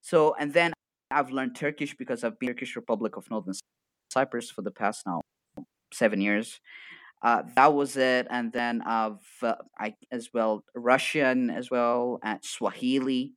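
A man whose first language is Arabic, an energetic guest chatting on a live podcast and laughing often. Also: mid-range voice, unhurried, no room sound. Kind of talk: casual live-stream chat.

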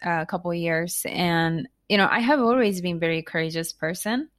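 Chatty Japanese-accented woman, low voice. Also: normal speed, a bit bright. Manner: chatty, with many fillers, restarts and laughs. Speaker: guest author and entrepreneur. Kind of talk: casual interview conversation.

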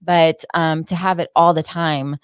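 A woman's voice: low-pitched, fairly even intonation, unhurried, clean tone, no echo, studio quality. A woman speaking as a wellness expert guest in a casual, reflective, earnest interview chat. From born in the United States, partly raised in Israel.

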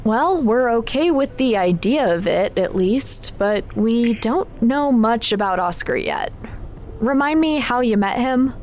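A woman playing a careful, gently skeptical friend in an audio drama, asking questions in a measured, tentative way. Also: on the high side, animated, slight background noise. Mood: cautious. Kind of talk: naturalistic audio drama acting.

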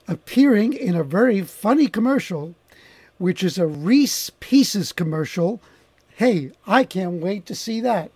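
A man hosting a talk show, introducing his guest in a measured, emphatic way and then interviewing her. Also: high-pitched, darkish tone, no room sound.

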